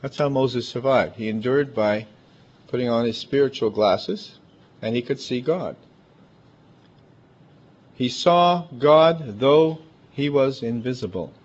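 A man's voice: medium pitch, takes it slowly, long pauses. A man with a big, emphatic voice, preaching a chatty, conversational sermon full of asides. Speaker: pastor. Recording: dull lo-fi recording.

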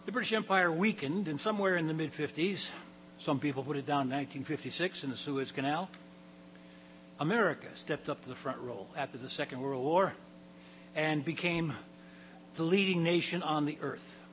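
Raspy older male pastor preaching. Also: fairly high pitch, a bit bright, slight background noise, rough recording. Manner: halting, repeats words, clears throat often. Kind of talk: sermon. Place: church hall.

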